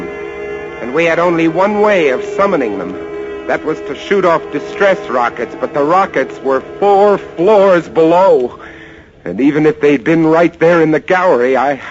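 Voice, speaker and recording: An actor playing a haunted lighthouse keeper telling his tale in a dramatic, halting vintage radio drama style. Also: on the high side. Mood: grim, strained. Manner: dramatic, halting, suspenseful storytelling. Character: haunted lighthouse keeper, a man, recounting a rat siege. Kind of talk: 1940s-50s radio drama narration. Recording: dull vintage radio recording, loud music behind.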